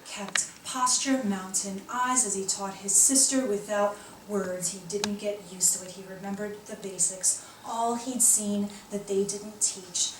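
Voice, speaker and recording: A woman's voice: medium pitch, fairly even intonation, unhurried, few pauses. A woman poet reading her own work aloud at a live poetry reading. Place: indoor poetry reading, recorded from a distance. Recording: echoey room, distant mic, faint noise.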